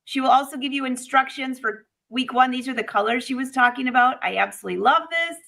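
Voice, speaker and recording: Bubbly bright-voiced woman, a bit raspy. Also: sits high, fast talker. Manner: bubbly, upbeat. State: a little raspy. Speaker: host. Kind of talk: live-stream chat.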